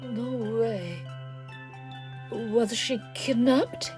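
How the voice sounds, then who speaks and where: A woman's voice: a little high, drawn-out words. A woman playing an earnest, kind-hearted young amateur sleuth in a radio drama, sincere and hesitant. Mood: worried. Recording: music behind the voice.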